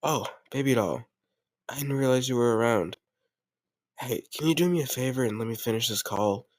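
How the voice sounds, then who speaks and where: Guy doing a ruthless mob boss whos sweet on his partner, bossy gangster talk, roleplay audio, really dry close sound, kinda low-ish voice. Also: moderate pace, no echo, lightly acted. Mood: affectionate. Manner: commanding yet affectionate, gangster slang. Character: doting but ruthless male mafia boss. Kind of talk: intimate scripted roleplay audio drama.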